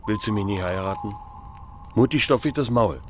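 Actor as a loud, plain-spoken village man. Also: on the low side, moderate pace, slight background noise, lightly acted. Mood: blunt, hopeful. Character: loud, hopeful village neighbour and rejected suitor. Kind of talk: audio drama acting.

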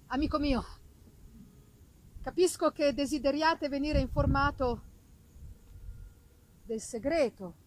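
A woman playing an obsessed male scientist in a dramatic gothic novel reading, theatrical and emphatic, with drawn-out words and dramatic pauses. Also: sits high, slight background noise, poor audio. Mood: grave warning. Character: obsessed male scientist confessing his dangerous discovery, exalted and haunted. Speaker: stage reader. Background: live musical accompaniment.

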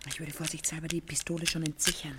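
A woman's voice: low-pitched, little pitch movement, fast, natural voice. A young woman playing an eager, anxious burglar's apprentice in a 1950s radio crime comedy. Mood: matter-of-fact. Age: young adult. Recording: old radio recording with clear noise.

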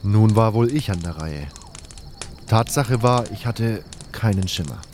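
A man's voice: fairly low pitch, lively intonation, unhurried, a little mellow, a little hiss or hum. A man narrating a fan radio play in the first person, in a dramatic storytelling style.

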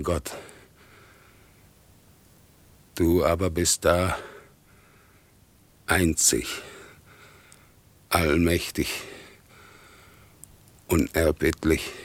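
Casting: wretched, defiant man for radio drama; bottomless bass, rough and husky, solemn and accusing. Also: dry recording, full character voice. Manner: slow, halting, bitterly accusing. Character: sick, despairing, defiant man raging against God. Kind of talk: radio play acting, solemn monologue. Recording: 1960s radio drama production.

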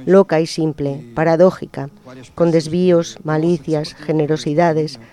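A man on a radio program, reading a personal letter aloud in a slow, intimate, reflective way. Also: fairly high pitch, flat delivery. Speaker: radio presenter. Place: radio studio. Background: soft guitar music.

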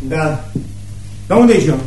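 An actor playing a gentle, anxious young man who is polite, deferential and halting in a radio theatre play. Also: on the high side, lively intonation, reverberant, over loud music, natural voice. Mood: meek, pleading. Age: young adult. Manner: hesitant, stammering, polite.

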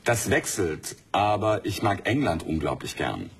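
Deep-voiced man chatting reflectively. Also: sing-song, measured pace, breathy edge. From Germany and Norway. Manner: chatty, reflective, many fillers. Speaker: studio guest. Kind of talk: casual conversation.

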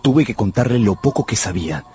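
An actor playing a deferential young tutor who narrates a radio drama in a formal, literary way. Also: male voice, fairly low pitch, lively intonation, brisk, warm tone, close to an everyday voice. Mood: narrating. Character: young tutor of twenty-five, recounting events, deferential. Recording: music behind the voice.

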